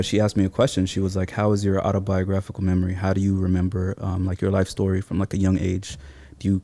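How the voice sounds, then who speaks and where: Man in his thirties, deep voice, flat intonation, thoughtful casual interview talk. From Seattle, born in Somalia. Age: 30s. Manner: thoughtful, informal slangy phrasing.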